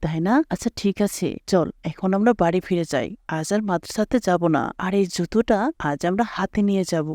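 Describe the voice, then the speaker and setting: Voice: mid-range voice, a little fast, hardly any pauses, darkish tone, no echo, clean studio sound, natural voice. An actor voicing a poor, caring young brother in a moral cartoon, earnest and consoling in manner. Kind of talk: Islamic moral cartoon dialogue.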